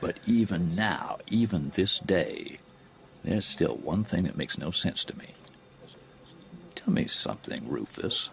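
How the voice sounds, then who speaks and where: An actor playing a shrewd middle-aged politician in an audio drama, probing and deliberate, with folksy phrasing and a gravelly voice. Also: male voice, deep voice, sing-song, full character voice. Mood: suspicious, probing.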